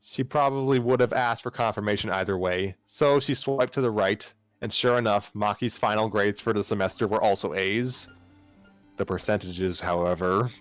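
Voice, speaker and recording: A man narrating fan fiction aloud as an amateur reader, sometimes stumbling and rereading phrases. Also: fairly low pitch. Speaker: story narrator.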